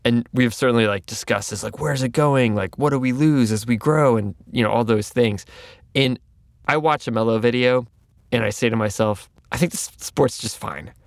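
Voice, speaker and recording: Gravelly-voiced thoughtful male co-host. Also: mid-range voice, moderate pace, dry recording, studio quality. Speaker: podcast co-host. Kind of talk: reflective podcast talk.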